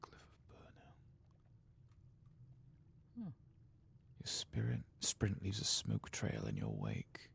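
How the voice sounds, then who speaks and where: A man giving soft-spoken, often whispered ASMR game commentary in a gravelly, musing mutter. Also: sits low, very animated, takes it slowly, long pauses. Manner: musing, muttering understated reactions. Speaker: ASMR streamer playing a video game.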